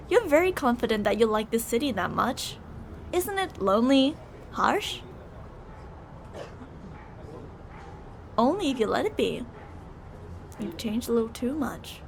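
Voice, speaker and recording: A woman's voice: sits high, fairly bright tone, a little hiss or hum, exaggerated voice. A woman playing a possessive, delusional young yandere bully in an ASMR roleplay, teasing, insistent and emotionally volatile. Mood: smug, probing.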